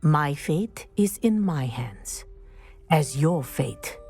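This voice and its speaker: A dignified middle-aged female professor in a video game, with a fairly low voice and music behind her. She speaks formally and precisely, in measured phrases, like a principled teacher who holds herself to strict standards. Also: animated, mellow, breathy edge, full character voice. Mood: solemn regret. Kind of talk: scripted game dialogue, storytelling.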